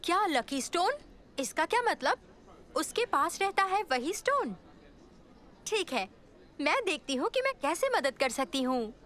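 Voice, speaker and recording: A woman voicing a curious, helpful young girl in an animated cartoon, with an expressive delivery. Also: very high-pitched, a little slow, pauses often, full character voice. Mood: curious, friendly.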